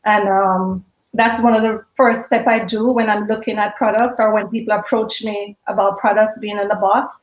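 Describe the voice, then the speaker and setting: A woman's voice: mid-range voice, little pitch movement, moderate pace, few pauses, echoey room, highs cut off. A woman in her fifties with a Jamaican accent blended with British and American sounds, chatting casually and laughing often as a guest on a live stream. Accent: Jamaican, mixed with British and American. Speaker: guest, small business owner.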